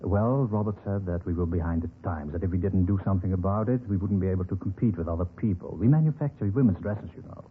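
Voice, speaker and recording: Gentle, stubborn old businessman played by a male actor, low-voiced and hesitant, for a vintage radio crime drama on a tinny recording. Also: quick pace, few pauses, faint music behind, natural voice. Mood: wistful, resigned. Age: older. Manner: hesitant, mild, repeats himself.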